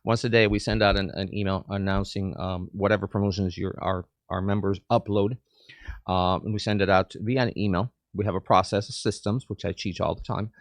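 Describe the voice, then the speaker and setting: Eager, animated man from Cuba. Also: low-pitched, brisk, no room sound. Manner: animated, eager, often repeats words. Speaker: chamber of commerce president, guest. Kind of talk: casual interview chat.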